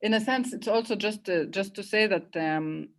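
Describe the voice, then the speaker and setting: A woman's voice: medium pitch, moderate pace. Hesitant, reflective woman host. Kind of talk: casual online conversation.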